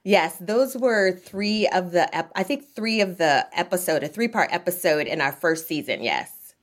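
An American woman speaks as a guest in an interview, explaining her work. Her voice is pitched a little low for a woman. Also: animated, moderate pace. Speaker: interview guest, nonprofit executive director. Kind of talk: interview answers.

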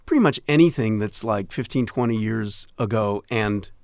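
A man's voice: fairly low pitch, moderate pace, a little mellow, no echo, clean studio sound. An enthusiastic man in his sixties chatting and explaining as an interview guest, acting out little quotes as he goes. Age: 60s. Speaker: radio show host and guest. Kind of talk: animated conversational interview. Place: dry studio.

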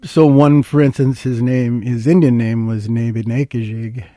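An older man from Minnesota speaks in a soft-edged, mellow voice. He explains things in a plain, anecdotal way, as a guest in conversation. The sound is dry, with very little room on it. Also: mid-range voice, fairly even intonation, moderate pace, no room sound. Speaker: interview guest, historical researcher. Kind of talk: conversational interview, storytelling.

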